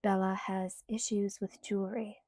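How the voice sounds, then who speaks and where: Woman voicing a hushed young male vampire. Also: mid-range voice, flat delivery, normal speed, no room sound, clean studio sound, natural voice. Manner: hushed, clipped.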